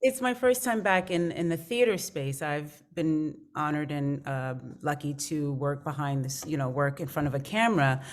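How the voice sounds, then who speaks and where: A woman with a distinctly low voice talks as a guest actor on a panel. Her delivery is informal and reflective, thinking aloud as she goes. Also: normal speed, hardly any pauses. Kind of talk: casual, reflective panel talk.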